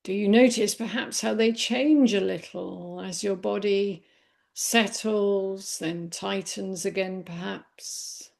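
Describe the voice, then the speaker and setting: A woman leading a guided body scan meditation in a gentle, unhurried manner with long pauses. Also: mid-range voice, steady tone, vocal fry. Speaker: meditation teacher.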